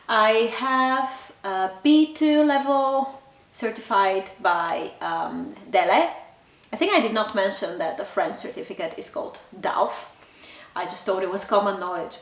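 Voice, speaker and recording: Woman in a roomy, echoey space. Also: on the high side. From Bulgaria. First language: Bulgarian. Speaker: language channel host. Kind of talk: casual talk to camera. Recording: roomy echo, distant mic.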